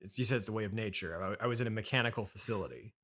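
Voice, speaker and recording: A man's voice: on the low side, racing, fairly bright tone. A man in his thirties hosting a casual improvised comedy interview, hesitant and questioning, with a dryly pedantic streak. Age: 30s. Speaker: podcast host and interviewer.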